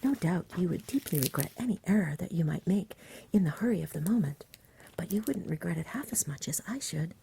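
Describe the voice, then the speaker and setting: A woman voicing a nervous, fussy, stubborn but genial dragon in a breathy whisper, with polite, old-fashioned, wordy speech. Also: low-pitched, dark tone, slight background noise. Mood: anxious, testy.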